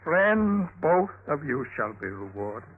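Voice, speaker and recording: A man's voice: fairly high pitch, big pitch swings, slow, breathy edge, full character voice. An actor playing an elated, foolish young Renaissance nobleman, exclamatory and theatrical, in a tinny, noisy old-time radio drama.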